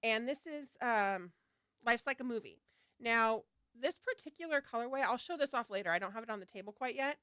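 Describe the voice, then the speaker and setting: A woman hosting a livestream talks in a fairly high, smooth voice, slightly nasal from a lingering head cold. She rambles along in a chatty, scattered way, laughing and swearing casually. The sound is close and dry. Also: lively intonation, brisk, a bit bright, no room sound. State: getting over a head cold. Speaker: yarn shop owner hosting a launch livestream.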